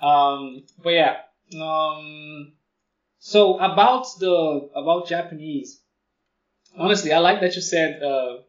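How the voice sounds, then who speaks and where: A man co-hosting a podcast, speaking English as a second language in a rambling casual chat full of fillers. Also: high-pitched. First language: not English. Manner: rambling, lots of fillers and likes. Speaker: podcast co-host. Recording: roomy, distant, dull sound.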